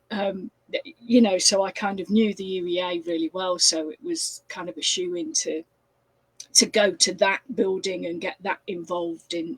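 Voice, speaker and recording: Yorkshire woman in her sixties, husky. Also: lowish voice, no room sound, rough recording. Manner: chatty, with many fillers and restarts. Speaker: crime author. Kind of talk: casual interview chat.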